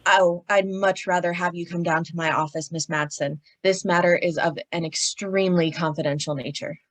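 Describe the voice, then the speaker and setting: A woman puts on a pompous gentleman executive for a radio mystery. The voice sits lowish, with stiff, formal phrasing and prim, careful precision. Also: fairly even intonation, moderate pace, close to an everyday voice. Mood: formal, officious. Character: prim, pompous male department-store executive. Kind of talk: old-time radio acting.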